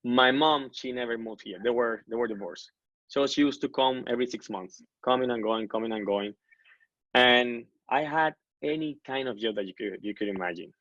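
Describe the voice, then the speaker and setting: A man's voice: mid-range voice, normal speed, fairly bright tone, no room sound. A man, a guest telling his story in a casual interview, earnest and often restarting his sentences. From Colombia. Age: 30s. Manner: earnest, many self-corrections and restarts. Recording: dull lo-fi dry recording.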